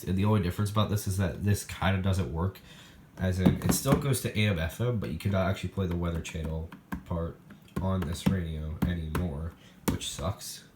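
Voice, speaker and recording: Low-voiced man in a noisy, echoey room. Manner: rambling, thinking aloud while handling gear. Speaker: hobbyist showing a weather radio collection. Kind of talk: casual unscripted show-and-tell demonstration. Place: indoor room, recorded while handling radios. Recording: echoey room with loud background noise.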